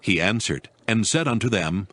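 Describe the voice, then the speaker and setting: Lower-pitched, expressive male scripture narrator. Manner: measured, formal.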